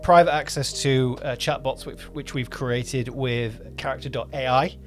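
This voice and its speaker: A man's voice: mid-range voice, steady tone, unhurried. Man co-hosting, music behind him. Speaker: podcast co-host, audio drama producer. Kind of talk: casual podcast chat.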